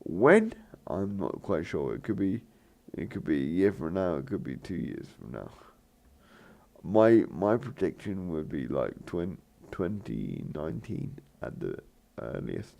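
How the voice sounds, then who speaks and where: A man hosting a gaming channel, talking in a rambling, hesitant way with lots of fillers and restarts, his voice croaky. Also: deep voice, big pitch swings, unhurried, a little mellow. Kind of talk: rambling let's play commentary.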